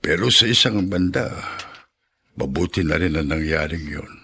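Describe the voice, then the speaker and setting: A male actor playing a remorseful, resigned elderly father in a Filipino radio drama, with a gravelly, husky voice. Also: very deep voice, moderate pace, pushed and bright, exaggerated voice. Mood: weary, confiding. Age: older. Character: ailing-sounding elderly father, remorseful, resigned, self-sacrificing.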